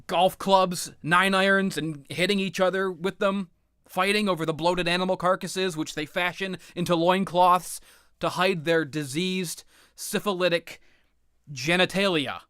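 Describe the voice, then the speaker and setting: A man's voice: a little high, pushed and bright, clear, resonant tone, dry recording, studio quality. A man giving a casual, comedic rant as a video game commentator, exasperated and profane, with rambling, stammered repetitions and plenty of exaggeration.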